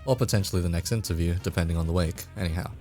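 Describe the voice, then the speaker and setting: A man with a very low voice hosting a relaxed interview over a call. He rambles easily from point to point, with quiet music under him. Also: very fast. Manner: laid-back, rambling, laughs often. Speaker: interviewer, host. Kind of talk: casual interview chat.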